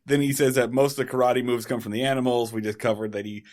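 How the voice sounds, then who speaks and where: A man's voice: medium pitch, fast. A man co-hosting a casual podcast, recapping a sitcom episode with jokes and asides. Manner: animated, jokey recapping of an old sitcom episode. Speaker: podcast co-host.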